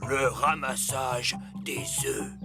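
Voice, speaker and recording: A man's voice: mid-range voice, steady tone, slow, over loud music, natural voice. A man voicing an earnest, cautious adult elf farm worker in a children's cartoon, explaining things emphatically. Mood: grave warning. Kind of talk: animated children's cartoon dub.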